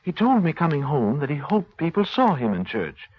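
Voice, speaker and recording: An actor playing a gentle, humble father with a husky voice in a 1930s radio drama. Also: on the high side, sing-song, moderate pace. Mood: tender, moved. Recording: dull 1930s radio recording.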